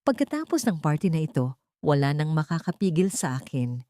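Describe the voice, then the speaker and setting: A woman's voice: deep voice, sing-song, brisk, dark tone, no room sound. Cold, dismissive husband for audio melodrama, acted by a female narrator, scornful and curt, dry sound. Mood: smug.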